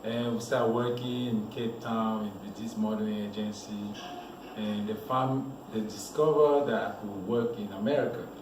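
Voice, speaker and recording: Male model from Cameroon, interview guest; low voice, loose storyteller, distant mic, roomy and noisy. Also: normal speed, music in the background. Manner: relaxed, rambling storyteller with restarts. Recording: echoey room, voice from a distance, noisy background.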